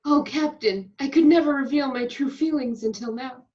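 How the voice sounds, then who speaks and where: A woman's voice: high-pitched, normal speed, mellow, close to an everyday voice. A woman playing a wry actress from a sci-fi series in an amateur table read, on a slightly dull lo-fi recording. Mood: mock romantic.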